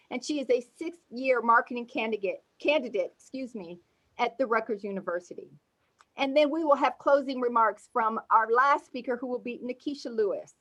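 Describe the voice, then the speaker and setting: Woman, moderator, fairly high voice, formal introductions, video call. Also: poor audio. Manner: warm formal introductions, corrects herself. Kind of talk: introducing speakers at an online tribute.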